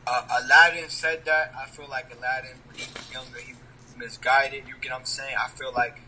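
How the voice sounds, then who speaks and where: A man's voice: medium pitch, bright, forceful tone, slight background noise, poor audio. A man, a rapper talking casually in an interview, leaning on street slang and asking "you get what I'm saying?". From New York.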